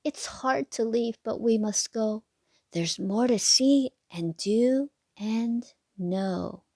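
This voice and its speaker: Slow-paced woman reading a children's storybook. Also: on the high side, dark tone, no room sound, studio quality. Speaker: read-aloud narrator for children. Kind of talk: children's picture book read-aloud.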